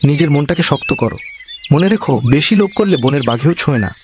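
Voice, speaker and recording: A man's voice: fairly high pitch, lively intonation, fast talker, background noise, close to an everyday voice. A man voicing a humble, earnest poor village husband in a cartoon, speaking in a cautioning, moralising way. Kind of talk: cartoon voice acting.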